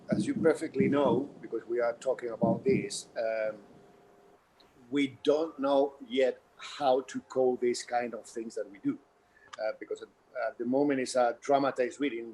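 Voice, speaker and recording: A man's voice: medium pitch, warm tone, slight background noise, low-quality recording. A man with a Spanish accent, a theatre director explaining his work in a hesitant way at a Q&A. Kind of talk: explaining in a post-show Q&A.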